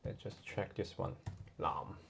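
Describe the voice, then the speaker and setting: A man from Hong Kong with a Hong Kong accent, giving a relaxed, chatty online lesson with many fillers. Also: unhurried, slight background noise. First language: Cantonese. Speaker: language tutor.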